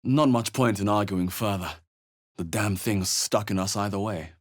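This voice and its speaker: An actor voicing a measured, wry male adventurer in a fantasy video game, with understated, dry remarks. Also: on the low side, no room sound, lightly acted. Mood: wry, muttering. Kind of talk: video game character voice lines.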